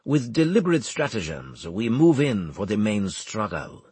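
A man's voice: lowish voice, big pitch swings, moderate pace, darkish tone, slightly rough, no room sound, full character voice. A man voicing a proud, theatrical military commander in an audiobook, with florid, mocking formal speech. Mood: confident. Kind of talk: audiobook character acting.

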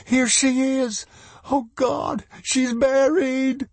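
A man's voice: on the high side, animated, normal speed, mellow, breathy edge, dry recording, pitched above the performer's own voice. A man voicing a desperate, folksy mother who clings to hope, in an audiobook. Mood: panicked, shouting.

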